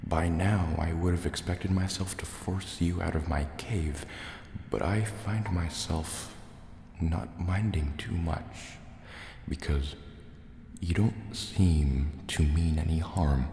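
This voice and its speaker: A man voicing a huge, proud, wary sea serpent dragon in a soft-spoken, menacing ASMR roleplay, deliberate and a little hesitant. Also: low voice, vocal fry, slight background noise, heavily acted. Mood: wary, threatening. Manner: slow, deliberate, threatening yet hesitant. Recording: roomy cave reverb, faint water ambience. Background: water sounds and cave ambience.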